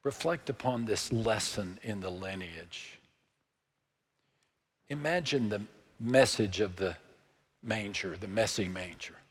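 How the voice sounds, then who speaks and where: A man in his sixties with a Texan accent, preaching a sermon in a slow, measured way that sinks to hushed, near-whispered lines. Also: lowish voice, husky, in a large hall. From Texas. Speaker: pastor.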